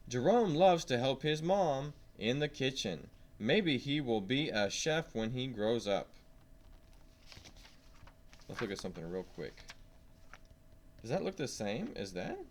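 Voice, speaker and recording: A man's voice: medium pitch, very animated, slow, a little hiss or hum. A man reading a science lesson aloud to young children, stressing key words and asking simple questions as he goes. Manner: simple questions and prompts to the listener.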